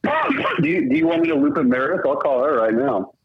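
Husky man with lively, swooping pitch. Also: brisk. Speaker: podcast contributor and guest prank caller. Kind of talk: casual comic banter and improvised prank calls. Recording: dull, lo-fi phone-like connection.

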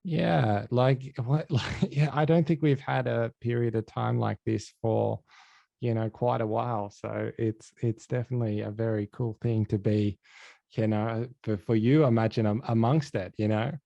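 Man, podcast interviewer, rambling with lots of fillers, mellow tone, dull dry sound. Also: mid-range voice, steady tone, vocal fry, no echo. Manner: rambling, halting, heavy with fillers and restarts.

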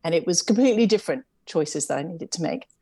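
A middle-aged woman from England with an English accent softened by years in America. She talks in a chatty, reflective way and laughs lightly at herself as she tells her story in an interview. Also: on the low side, animated, fast talker, slightly rough. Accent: English, with some American influence. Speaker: interview guest, camp CEO. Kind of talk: conversational interview.

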